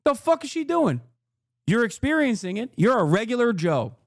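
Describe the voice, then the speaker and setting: A man's voice: very high-pitched, very animated, moderate pace, creaky, no echo, clean studio sound. A man in his thirties, a streamer, ranting in heated, profane reaction commentary and laughing as he talks. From Turkey. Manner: laughs through his lines.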